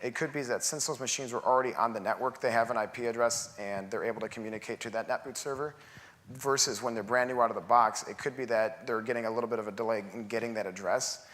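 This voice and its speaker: A man answering audience questions at a tech conference, explaining things step by step in a steady manner on a large theater stage. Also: mid-range voice, quick pace. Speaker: conference presenter. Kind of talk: technical Q&A answers.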